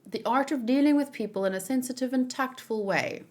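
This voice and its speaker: A woman's voice: high voice, brisk, darkish tone. A woman giving casual, informal commentary as a read-along host, thinking aloud and searching for her words as she goes.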